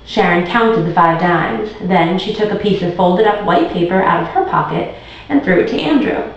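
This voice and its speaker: A woman's voice: fairly low pitch, normal speed. A woman tutor reading a children's storybook aloud as narrator. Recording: very echoey room, distant muffled mic.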